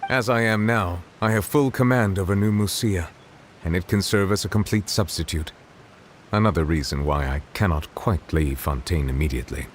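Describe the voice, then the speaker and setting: A man voicing a solemn, dignified dragon who serves as chief judge in a video game, speaking in a formal, measured, grave manner. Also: low-pitched, slight background noise, lightly acted. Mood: resolute, formal. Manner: formal, measured, eloquent, reserved. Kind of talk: video game character dialogue. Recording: game dialogue over background music.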